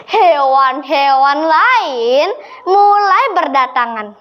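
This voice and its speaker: A young girl telling a children's story in a deliberate, dramatic and expressive way. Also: high voice, dull audio. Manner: slow, deliberate, expressive delivery. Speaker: storytelling contest participant.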